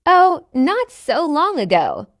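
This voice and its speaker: A woman's voice: high-pitched, huge pitch swings, slow pace, dry recording, clean studio sound. A woman reading a simple English dialogue for learners, carefully enunciated and repeating each line. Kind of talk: slow scripted dialogue reading.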